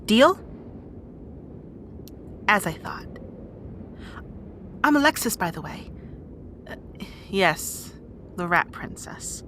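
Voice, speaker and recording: A woman's voice: mid-range voice, sing-song, slow, long pauses, fairly bright tone, a little hiss or hum. A woman playing a bossy, guarded young rat princess in an audio roleplay drama, with exasperated, wry asides. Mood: bargaining, wry.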